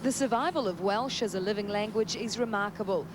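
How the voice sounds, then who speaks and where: A woman's voice: medium pitch, a little fast. A woman delivering scripted feature narration as a reporter. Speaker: television reporter. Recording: clear street and vehicle noise behind.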